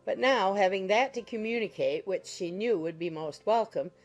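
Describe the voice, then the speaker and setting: A woman's voice: medium pitch, moderate pace, rough recording. A woman giving scripted narration of a period novel as an audiobook narrator. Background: music.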